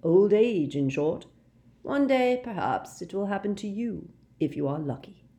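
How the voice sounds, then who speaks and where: A woman voicing a very old, wise male headmaster who speaks calmly and quietly. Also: mid-range voice, a little slow, pitched above the performer's own voice. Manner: calm, quiet, gently dry.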